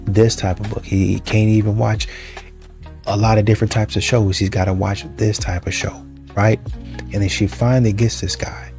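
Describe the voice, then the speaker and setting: A man with a fairly low voice talks through his opinions as a show host. He is casual and halting, circling back over his words, with music faintly underneath. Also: flat delivery, a little mellow. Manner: halting, repeats himself.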